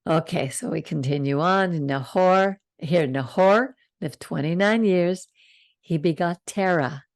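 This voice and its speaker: An older woman hosting a Torah study over a video call, reading the passage slowly and calmly and stopping to comment. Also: lowish voice, no room sound, studio quality. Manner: slow, calm reading with side comments. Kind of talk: reading scripture and teaching.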